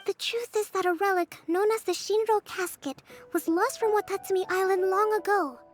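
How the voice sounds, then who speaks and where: A woman voicing a composed young priestess and leader in a video game, speaking softly, politely and in measured, formal phrases. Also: very high voice, fairly even intonation. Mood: composed, reflective. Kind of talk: video game character acting. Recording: music under the voice. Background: background music.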